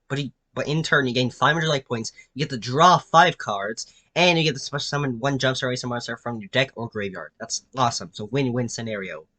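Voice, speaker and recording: A man's voice: medium pitch, pushed and bright, dry recording. A man with a nasal voice, sick with a cold, casually rambling through explanations full of fillers and restarts. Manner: filler-heavy, many restarts and "like"s. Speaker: YouTube creator presenting his own card designs.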